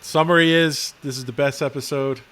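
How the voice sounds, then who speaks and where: A man co-hosting a podcast in relaxed casual chat, halting and hesitant with fillers. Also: on the high side, smooth. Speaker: podcast co-host.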